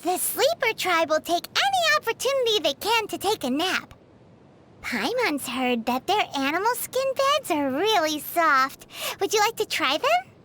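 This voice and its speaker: A woman voicing a tiny, childlike fairy companion in a video game, with a squeaky voice and a perky, eager manner. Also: big pitch swings, a little slow, fairly bright tone, full character voice. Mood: cheerful. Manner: perky, refers to self in third person.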